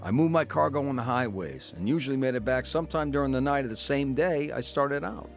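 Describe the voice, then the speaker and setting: Male actor as radio drama narrator, grown son, plain-spoken, matter-of-fact, medium pitch, musical backing. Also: fairly quick, faint music behind, natural voice. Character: adult son narrating his crooked youth and war years. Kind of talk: scripted first-person radio drama narration.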